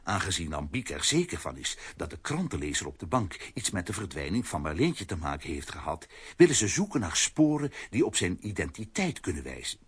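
A man's voice: deep voice, very animated, fairly quick, slightly rough, exaggerated voice. A man narrating a children's adventure audio play as a scripted storyteller. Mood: scene-setting.

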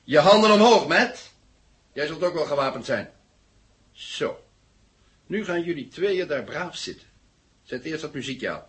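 A man's voice: on the high side, lively intonation, unhurried, pauses often, fairly bright tone. An actor playing a menacing, sarcastic male gunman who gives commands in a 1970 radio play. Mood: cold menace. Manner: commanding, sardonic. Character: John, menacing, sarcastic gunman giving orders. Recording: noisy old radio drama recording.

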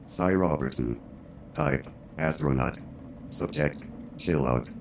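An actor in a radio play voicing an impersonal machine that reads out file data in a clipped, deadpan way. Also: male voice, rumbling low, takes it slowly, gravelly, heavily acted. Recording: distant, slightly noisy, with ambience.

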